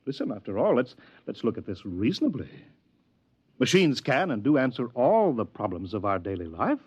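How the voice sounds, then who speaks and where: A muffled fifties radio recording carries an older American man, a fussy counsellor. His pitch swings widely as he wheedles, stammers and pronounces with oily pomposity. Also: big pitch swings, normal speed, slightly rough. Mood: flustered, scandalized. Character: fussy, unctuous older male adviser and smooth salesman. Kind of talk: 1950s radio drama acting. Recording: dull vintage 1950s radio recording.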